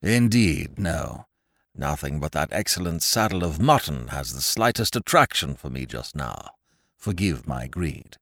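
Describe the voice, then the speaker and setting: A man's voice: rumbling low, expressive, measured pace, gravelly, a bit bright, no echo, clean studio sound, heavily acted. A man voicing an effusive, jovial English barrister in an audiobook, urbane and talkative, with an upper-class English accent. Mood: gracious, eager. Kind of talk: audiobook character voice.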